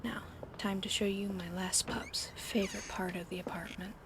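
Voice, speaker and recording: A woman whispering an ASMR roleplay in a soft, soothing, coddling way over an audible fan hum. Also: mid-range voice, steady tone, moderate pace.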